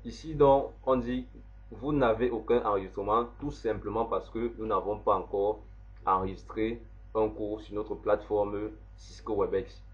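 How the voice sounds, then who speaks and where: A man, slowly walking through a software setup step by step as an instructor. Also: lowish voice, fairly even intonation. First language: French. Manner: slow, step-by-step explaining. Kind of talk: screen-recorded software tutorial. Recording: dull lo-fi voice recording.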